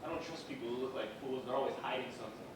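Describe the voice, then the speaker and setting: A man's voice: medium pitch, rapid-fire, lightly acted. An actor playing a resentful, guilt-ridden man who is tense and paranoid. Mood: suspicious. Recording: very echoey, distant mic, clear background noise.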